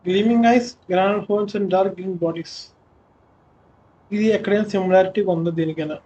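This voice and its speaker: Quick chatty Telugu-accented game streamer, high and rapid, slightly muffled audio. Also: male voice, dark tone. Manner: quick, chatty, mixing in casual asides. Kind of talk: live gameplay commentary.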